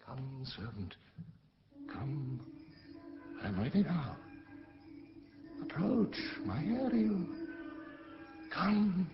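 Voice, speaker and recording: Slow, commanding magician duke. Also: male voice, a little high, sing-song, over music, heavily acted. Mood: summoning. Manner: grave, measured, commanding declamation. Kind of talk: theatrical Shakespearean audio drama acting. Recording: muffled lo-fi audio.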